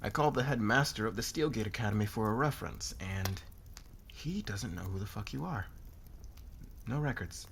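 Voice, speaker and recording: Man playing a low-voiced, smug, commanding boss. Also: animated, a bit bright, slight background noise. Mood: cool, interrogating. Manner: commanding, sardonic, probing, deliberate. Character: demanding, smug corporate boss, a cool calculating lord. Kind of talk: scripted ASMR roleplay acting.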